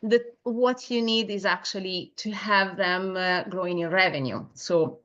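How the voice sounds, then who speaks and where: A woman's voice: mid-range voice, a little slow, pushed and bright, no room sound, lo-fi sound. A woman from Italy with an Italian accent, presenting a marketing webinar over a video call with frequent hesitations. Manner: hesitant, many filler sounds, storytelling. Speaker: webinar presenter, SEO agency founder.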